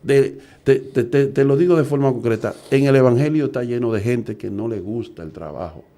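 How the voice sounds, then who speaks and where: A man's voice: mid-range voice, fairly quick, dark tone. A middle-aged man, preaching earnestly and asking rhetorical questions. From Dominican Republic. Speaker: evangelist preacher. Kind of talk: preaching talk.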